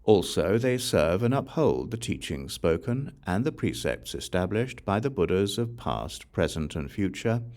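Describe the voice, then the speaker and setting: Low-voiced man reading scripture, widely varied intonation. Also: a little mellow. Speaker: audiobook reader.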